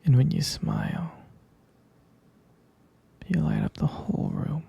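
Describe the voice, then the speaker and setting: A man playing an adoring, tender vampire lover in an intimate ASMR roleplay, whispering breathily and haltingly with gravelly fry. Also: fairly high pitch, heavily acted. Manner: slow, halting, softly repeating himself. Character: adoring, tender male vampire lover soothing his sweetheart.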